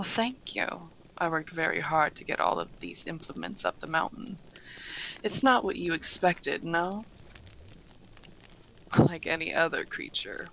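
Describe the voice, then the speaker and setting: Woman as a low-voiced, unhurried, genteel half-dragon lady. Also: gravelly, a bit bright, slight background noise, exaggerated voice, poor audio. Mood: explaining, proud. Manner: genteel, formal, unhurried wording with dry teasing. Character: courteous, refined female half-dragon hostess, humanoid. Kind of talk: fantasy roleplay audio acting, addressing the listener.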